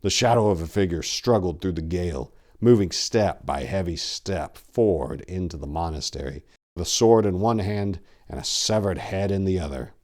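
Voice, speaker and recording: A man with a low voice gives a dramatic reading as narrator. His delivery is brooding, deliberate and theatrical. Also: darkish tone. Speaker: narrator and channel host.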